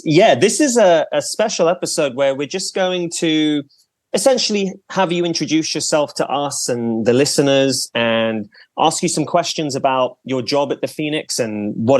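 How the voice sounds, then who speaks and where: A man's voice: fairly high pitch, dry recording. A man hosting a podcast, introducing a guest and asking questions in a friendly, chatty way, reacting with enthusiasm. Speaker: podcast host. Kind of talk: casual podcast interview.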